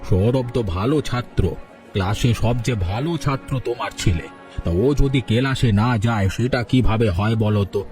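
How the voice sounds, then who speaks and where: A man's voice: medium pitch, fairly quick, natural voice. Man voicing a two-faced village headman. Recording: soft music under the voice.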